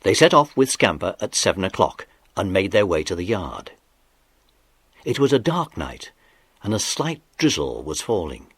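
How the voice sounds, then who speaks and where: A man narrating a children's story in an expressive, dramatic scripted style. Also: low voice, normal speed, breathy edge, no room sound.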